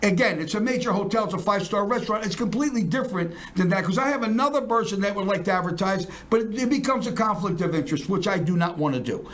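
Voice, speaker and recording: Rambling high-voiced showman host. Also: lively intonation, quick pace, few pauses, fairly bright tone. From South Philadelphia. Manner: boastful, rambling, jokey showman. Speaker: talk show host. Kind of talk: casual promotional chat.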